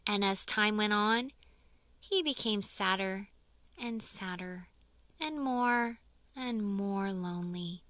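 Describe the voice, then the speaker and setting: Bright-voiced woman reading a children's story, unhurried. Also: medium pitch, dry recording. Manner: dramatic, deliberate phrasing with emphasis. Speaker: audiobook reader. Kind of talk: expressive children's storybook narration.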